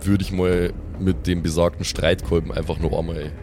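A man's voice: sits low, flat delivery, moderate pace, darkish tone, slight background noise. A man chatting casually as a player in an improvised tabletop game, slangy and mixing in English terms.

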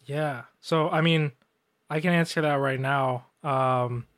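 A man's voice: on the high side, fairly even intonation, slow, a bit bright. A man who works as a designer, answering questions on a live show in a laid-back way with lots of fillers. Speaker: guest designer. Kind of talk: casual live Q&A.

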